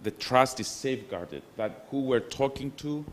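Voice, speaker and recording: A man with a Cypriot Greek accent, a professor speaking on a conference panel in an earnest, measured way with small restarts. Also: medium pitch, lively intonation. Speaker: panelist, professor of international relations. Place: conference hall.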